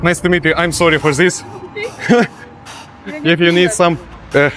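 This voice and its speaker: A man's voice: on the high side, fairly even intonation, unhurried. A young man posing as a cleaner in a gym, apologizing and giggling constantly in broken English. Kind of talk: hidden-camera prank. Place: large indoor gym. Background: music.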